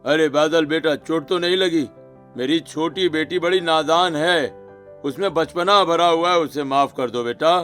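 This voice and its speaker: A stern, commanding middle-aged father, acted by a man, in a cartoon family drama. His voice sits notably high, with music underneath. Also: unhurried, a bit bright. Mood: apologetic. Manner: scolding, commanding. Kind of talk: Hindi cartoon story acting.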